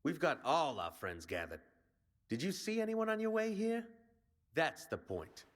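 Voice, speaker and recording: Cocky, animated male resistance commander. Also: on the high side, measured pace. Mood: confident briefing. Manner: cocky, rallying, plain-spoken soldier talk. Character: brash male resistance commander briefing a raid. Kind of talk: video game voice acting.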